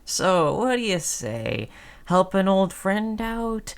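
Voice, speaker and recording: A woman's voice: medium pitch, very animated, takes it slowly, clear, resonant tone. A woman playing a sly, chummy female zombie in an audio roleplay, coaxing in a falsely friendly, hesitant conversational way. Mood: cajoling, reasoning.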